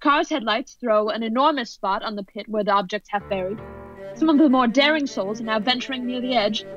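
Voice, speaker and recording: A woman playing an excited, increasingly horrified radio news reporter giving dramatic, halting eyewitness commentary in a radio play. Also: on the high side, dry recording. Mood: urgent, excited. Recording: dull lo-fi audio under music.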